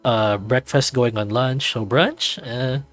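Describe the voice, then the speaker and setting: A man's voice: mid-range voice, fairly even intonation, slow pace, a bit bright, soft background music. A man reading a visual novel as a narrator, with casual, musing asides. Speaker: visual novel reader and commentator.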